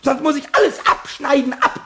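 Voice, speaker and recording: An actor playing a small, mischievous and jealous male kobold in a radio play, with a raspy, croaky voice and a sulky, stammering, stubborn way of talking. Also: very high voice, brisk, pushed and bright.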